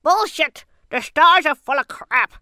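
A woman's voice: high voice, animated, measured pace, sharp tone, dry recording, clean studio sound, voice pushed up, full character voice. A woman voicing a cantankerous, blunt ninety-two-year-old female fortune teller in a comic monologue. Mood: indignant.

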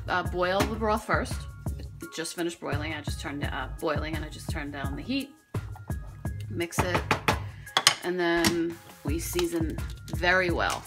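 A woman giving casual, matter-of-fact cooking instructions as a home cook in her kitchen. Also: fairly low pitch. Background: loud music.

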